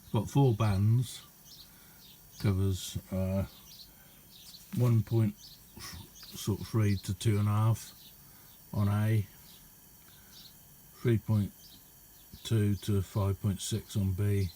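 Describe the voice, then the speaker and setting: A middle-aged man, giving a casual, halting and unhurried hands-on demonstration as a radio hobbyist. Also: on the low side, little pitch movement, warm tone, a little hiss or hum. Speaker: radio hobbyist showing a homemade receiver. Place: indoor hobby radio room.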